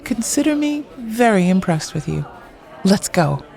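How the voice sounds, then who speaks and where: Actress as a witty, creaky-voiced woman on a date. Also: mid-range voice, very animated, a little slow, a little mellow, soft background music, some chatter behind. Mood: pleased, playful. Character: witty, frank woman on a friendly first date. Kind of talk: audio drama dialogue.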